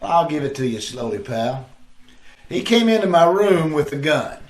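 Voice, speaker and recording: A man's voice: a little high, sing-song, normal speed. Man acting cocky 1940s radio sleuth, roomy echo. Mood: smug, wisecracking. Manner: wisecracking, slangy. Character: Blackie, cocky, quick-witted male amateur sleuth. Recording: roomy, echoing video-call audio.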